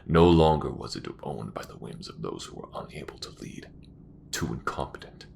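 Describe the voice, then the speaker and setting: An ancient, unrepentant empire commander, with an extremely deep, gravel-filled voice. He speaks gravely and grandly, like a proud ruler at his end. It is sci-fi audio roleplay, with clear noise behind the voice. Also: lively intonation, darkish tone, heavily acted. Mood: proud, scornful. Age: older. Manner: grave, measured, grandiose delivery. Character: dying old imperial commander, proud, bitter, unrepentant villain.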